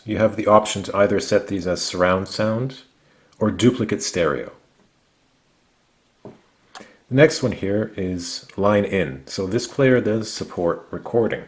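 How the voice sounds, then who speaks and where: A man narrating a product review, explaining things methodically in short, measured phrases. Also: on the low side. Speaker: gadget reviewer.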